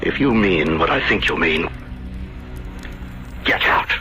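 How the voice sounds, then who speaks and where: A man's voice: deep voice, animated, slow, over music. An actor playing a proud, hard-pressed male wine grower in debt, emphatic and indignant, in a radio drama. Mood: cold anger. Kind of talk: 1970s radio drama acting.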